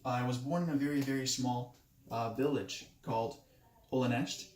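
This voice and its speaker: A man in his late twenties, from Moldova with Romanian as his first language, giving a halting self-introduction speech for a class, with many restarts. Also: mid-range voice, steady tone, darkish tone, roomy sound. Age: 20s. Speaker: student.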